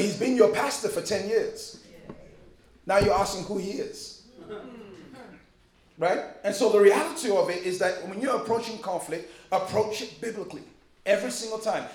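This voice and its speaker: A man's voice: high-pitched, animated, moderate pace, reverberant, low-quality recording. A man from Liberia preaching a sermon as a pastor, emphatic and joking, with repeated phrases. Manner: emphatic preaching with humor and repetition.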